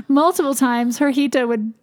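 Female podcast co-host, high bright-pitched voice, chatty and warm, conversational delivery. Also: a little slow. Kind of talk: warm casual podcast chat.

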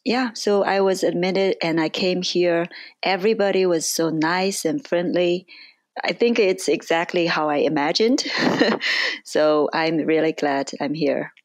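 A woman's voice: on the low side, measured pace, no room sound. A woman in her forties with a Chinese accent, answering interview questions in a thoughtful way, with frequent fillers and restarts. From China.

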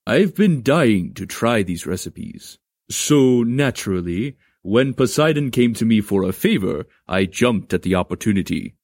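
A man's voice: lowish voice, lively intonation, a little slow, darkish tone, no echo, studio quality, voice pushed down. A man voicing a doting god of the underworld in a story, with a suave, formal, drily playful manner. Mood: affectionate, playful.

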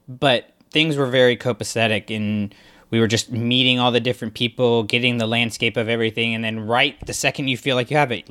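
A man with a bright, forceful tone co-hosting a podcast in casual conversation. He talks at an average pitch, rambling and enthusiastic, stringing thoughts together with plenty of fillers. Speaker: podcast co-host. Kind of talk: casual discussion.

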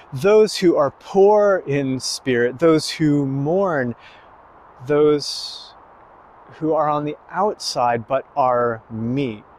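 A man preaching a sermon slowly and emphatically, pausing between phrases. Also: medium pitch, slight background noise. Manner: slow, emphatic preaching with long pauses.

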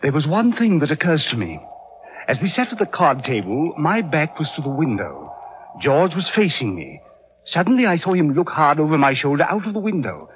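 An actor playing a distraught, horror-struck English gentleman, faltering in old-time radio drama style. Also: fairly high pitch, big pitch swings, moderate pace. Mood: shaken, earnest. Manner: agitated, faltering, melodramatic. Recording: muffled vintage radio recording, faint noise.

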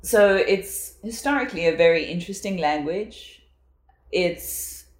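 Low-voiced South African woman, echoey room. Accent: South African English. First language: English. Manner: reflective, with frequent fillers and laughs. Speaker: guest. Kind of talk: casual conversational interview.

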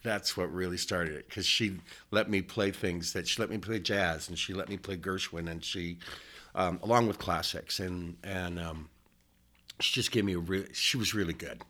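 This is a man in his sixties, reminiscing as a guest in an unhurried interview. His voice sits somewhat low, and he rambles, wandering off the subject and then circling back. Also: fairly even intonation, bright, forceful tone. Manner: rambling, digressive storyteller.

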